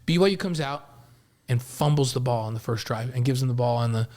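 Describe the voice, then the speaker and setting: A man's voice: mid-range voice, brisk, darkish tone. A man hosting a sports talk show, explaining game strategy in a casual, rambling way.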